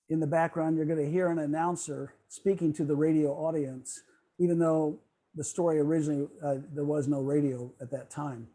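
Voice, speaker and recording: Casting: male club presenter, relaxed dark timbre, slightly high pitch, semi-scripted talk on a video call. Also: poor audio. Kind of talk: presentation, partly scripted narration.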